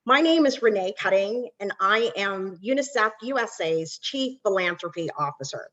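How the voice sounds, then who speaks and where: A woman's voice: mid-range voice, animated, moderate pace, a bit bright, muffled recording. A woman from Barbados, a nonprofit executive, giving an earnest webinar welcome with frequent ums.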